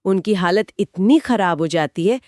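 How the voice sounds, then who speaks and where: A woman's voice: medium pitch, expressive, a little slow, darkish tone, no echo, clean studio sound. A woman co-host retelling a short story as scripted narration within a two-person discussion.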